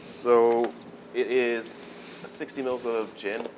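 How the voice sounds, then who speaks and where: A man with a Canadian accent chatting casually at a poker table, relaxed and full of fillers. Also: medium pitch, slow, slight background noise, poor audio. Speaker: poker player.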